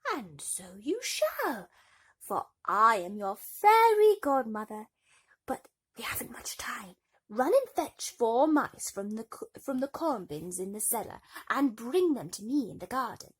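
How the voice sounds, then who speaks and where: A woman voicing a kindly old fairy godmother in a storybook, with breathy, gentle urging. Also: a little high, big pitch swings, unhurried, voice pushed up, heavily acted. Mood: reassuring, urgent.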